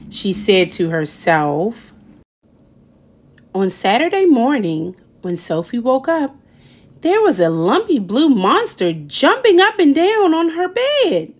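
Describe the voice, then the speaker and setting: A woman narrating a picture book for children as a storyteller, with expressive, dramatic emphasis on key words. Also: medium pitch. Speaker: children's read-aloud storyteller.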